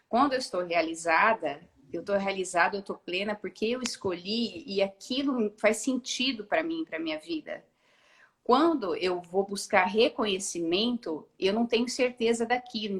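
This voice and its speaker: A woman's voice: mid-range voice, a bit bright. A woman talking as a guest in a live-streamed video call, thinking aloud with frequent hesitations and restarts. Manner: reflective, many hesitations and restarts. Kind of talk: casual live-stream conversation.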